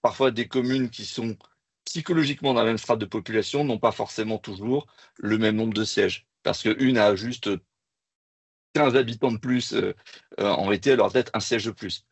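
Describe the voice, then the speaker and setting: A man speaks as an expert in a panel discussion. His voice sits low and has a rough, husky grain with noticeable fry. He advances haltingly through his explanation. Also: no echo. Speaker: expert guest.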